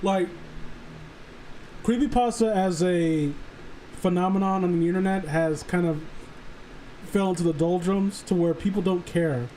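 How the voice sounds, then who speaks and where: A high-voiced man in a loose livestream chat. He talks in halting, rambling runs, restarting his thoughts and cursing freely, with a little noise behind him. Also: mellow, rough recording. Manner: halting, rambling, often swearing.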